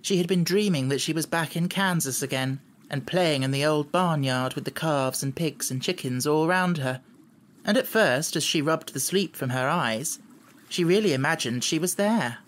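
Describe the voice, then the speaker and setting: A man's voice: a little high, normal speed, slight background noise. A man reading scripted storybook narration as an audiobook narrator.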